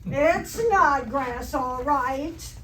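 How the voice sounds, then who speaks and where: Woman playing a slow, very high-voiced sardonic schoolteacher. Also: a bit bright, heavily acted. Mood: amused, sarcastic. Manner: dry, wry, sarcastic. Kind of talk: amateur stage re-creation of 1940s radio comedy. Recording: roomy distant stage recording, faint noise.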